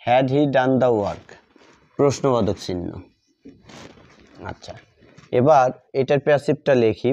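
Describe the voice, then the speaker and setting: A man teaching a grammar lesson step by step, deliberately repeating phrases and answering his own questions. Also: medium pitch, dark tone. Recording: dull lo-fi sound.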